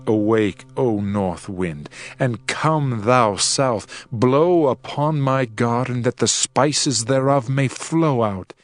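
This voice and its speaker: A man playing an adoring bridegroom king in a dramatized scripture reading, solemn, tender and deliberate. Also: mid-range voice, no echo, close to an everyday voice. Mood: commanding. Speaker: actor reading dramatized audio Bible. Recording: music bed behind the voice.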